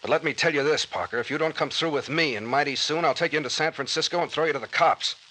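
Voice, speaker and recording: An actor playing a bossy, gruff young detective captain in old-time radio drama style. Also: male voice, on the high side, fast talker, sharp tone, poor audio. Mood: threatening. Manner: bossy, brusque. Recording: vintage radio recording with faint noise.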